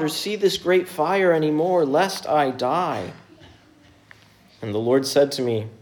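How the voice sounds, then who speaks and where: A man's voice: a little high, a little mellow, rough recording. Earnest man preaching a sermon. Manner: earnest, measured preaching with pauses. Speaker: pastor. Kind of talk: sermon with scripture reading and prayer.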